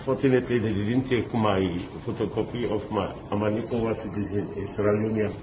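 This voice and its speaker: A middle-aged man from Lebanon telling his story in an animated, halting way, restarting often and mixing in pidgin words. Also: lowish voice, noisy background. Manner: excited, halting, many restarts. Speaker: interviewee recounting a kidnapping. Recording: echoey with loud street ambience.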